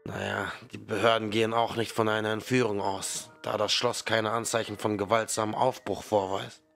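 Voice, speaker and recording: Man as grieving dad, gravelly and low, measured. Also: little pitch movement, a bit bright, soft background music. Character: grieving father of a missing girl, calm. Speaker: father of missing daughter. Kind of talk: fan audio drama acting.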